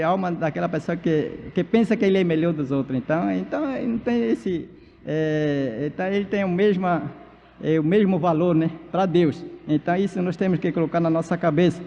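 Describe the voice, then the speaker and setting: A man whose first language is Baniwa, a pastor and missionary from Amazonas, preaching at a church conference in halting speech full of fillers and restarts. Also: sits high, gravelly, dark tone, slight background noise. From Amazonas, Brazil. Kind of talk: sermon at a church leaders' conference. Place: large church hall. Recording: dull, reverberant church PA recording.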